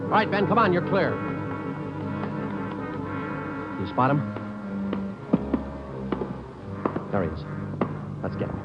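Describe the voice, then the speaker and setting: An actor playing a terse, methodical detective sergeant in a clipped, deadpan 1950s radio crime drama style. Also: male voice, on the high side, fast talker. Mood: urgent, commanding. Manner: deadpan, terse, matter-of-fact. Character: terse, methodical police detective sergeant narrating his case. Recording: muffled old radio recording, loud music.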